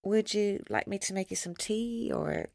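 A woman acting in an audio drama, playing a scheming singer who seems kind and is quietly menacing, composed and pointed. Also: medium pitch, normal speed, gravelly, dry recording, clean studio sound, close to an everyday voice. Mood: solicitous.

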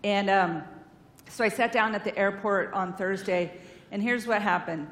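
A woman's voice: moderate pace, fairly bright tone. A woman giving an informal, earnest sermon-style talk at a church, chatty and full of fillers, on a stage microphone. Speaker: guest speaker at a church, counselor. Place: large hall in a church. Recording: echoey hall, faint rumble.

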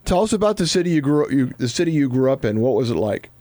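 A man hosts a radio interview at an ordinary middle pitch. He sounds upbeat and tends to repeat or reword his questions as he guides the guest. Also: big pitch swings, fast talker, mellow, dry recording. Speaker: radio host.

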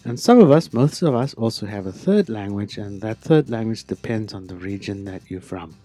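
A middle-aged man with a South African accent and a lowish voice, explaining things to children slowly and carefully. He fills his pauses with ums. Faint guitar music plays under him. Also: animated, mellow. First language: Afrikaans. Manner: slow, careful, with frequent ums. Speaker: interviewed guest.

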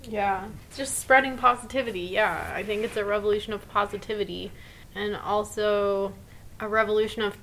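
A woman's voice: medium pitch, a bit bright, a little hiss or hum, low-quality recording. A woman in her thirties co-hosting a casual podcast chat, talking earnestly and upbeat with a lot of "like". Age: 30s. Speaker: podcast co-host.

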